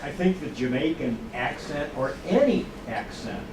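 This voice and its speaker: A man's voice: a little high, lively intonation, normal speed. A man coaching a class, giving direct and encouraging feedback. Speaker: public speaking coach. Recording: roomy, from far off, loud noise.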